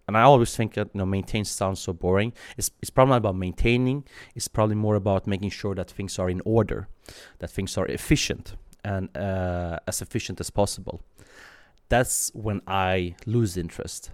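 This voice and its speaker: A man in his thirties from Sweden talks in a deep, low voice. He answers in a thoughtful, halting way, full of fillers and restarts. The sound is close and dry. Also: fairly even intonation, clean tone, no echo. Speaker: guest. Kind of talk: interview answers.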